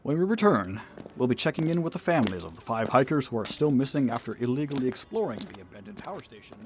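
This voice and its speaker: An actor playing an earnest news anchor with formal, emphatic delivery in an audio drama newscast. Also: male voice, medium pitch, sing-song, fast talker, a little mellow, natural voice.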